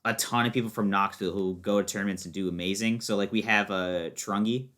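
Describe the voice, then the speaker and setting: A man's voice: fairly low pitch, fairly even intonation, quick pace, fairly bright tone. A young American man chatting casually as a podcast guest, explaining things in an informal, rambling way full of fillers. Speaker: podcast guest, fighting game player.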